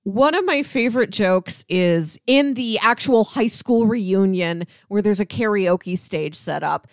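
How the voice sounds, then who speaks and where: Woman, medium pitch, casual podcast co-host, animated storytelling, laughter in speech, dry close recording. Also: unhurried, no room sound.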